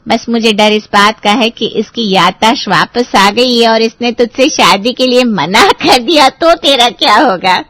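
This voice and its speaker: A woman's voice: fairly high pitch, measured pace, few pauses, a bit bright, dry recording. A woman playing a teasing, affectionate elder sister in a family audio drama. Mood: fond, playful. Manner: playful, teasing.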